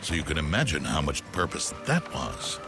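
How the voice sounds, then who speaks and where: A man playing a wry, grandiose storyteller narrating a video game adventure in a deliberate manner. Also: rumbling low, huge pitch swings, creaky, music in the background, exaggerated voice. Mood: wry, storytelling.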